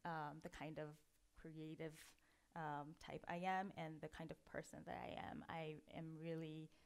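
A woman in her thirties giving a relaxed, chatty talk at a conference, often pausing with ums. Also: sits low, gravelly. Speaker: conference speaker. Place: dark auditorium, at a lectern before an audience.

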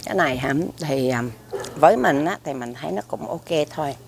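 A woman's voice: low voice, lively intonation, a little fast, gravelly, noisy background. A middle-aged woman with a Southern Vietnamese accent chats casually to the camera at a restaurant table, filling her talk with fillers and repeated words. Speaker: food vlogger. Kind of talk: casual food review to camera. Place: restaurant table by a window, indoors. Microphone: camera across the table.

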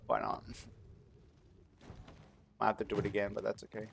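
A man's voice: lowish voice, normal speed, background noise. A man giving casual, slangy gameplay commentary, talking to himself and to the game as he plays. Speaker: gamer recording a playthrough. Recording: game sound clearly behind voice. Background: video game ambience and effects.

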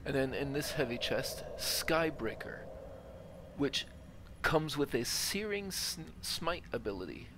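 Man, creaky mid-range voice, casually talking himself through a game over faint background ambience. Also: medium pitch, big pitch swings, measured pace, noisy background. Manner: thinking aloud. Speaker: gamer narrating own playthrough. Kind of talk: casual gameplay commentary.

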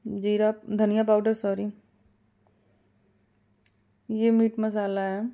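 Woman, cooking host, calm step-by-step explainer, mellow and even delivery. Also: medium pitch, slow. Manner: calm step-by-step instructions with small fillers. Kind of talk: home cooking tutorial voice-over.

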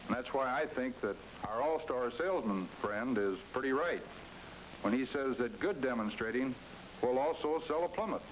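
A man's voice: medium pitch, expressive, normal speed, low-quality recording. A middle-aged American man coaching salesmen in a scripted, folksy 1950s training-film narration style. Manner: folksy, direct second-person coaching. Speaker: company executive presenting a dealer training film. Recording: hissy 1950s film soundtrack.

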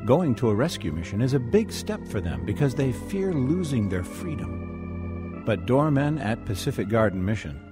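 A man announces a scripted radio program with measured drama. His mid-range voice rises and falls widely, over clear music. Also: mid-range voice.

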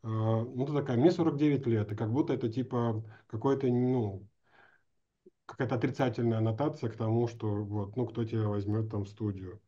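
A middle-aged man hosting a casual interview over a video call, talking informally in halting, hesitant phrases. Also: medium pitch, fairly even intonation, mellow, slightly rough. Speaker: host, interviewer. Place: indoor room, video call. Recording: slightly dull video-call audio.

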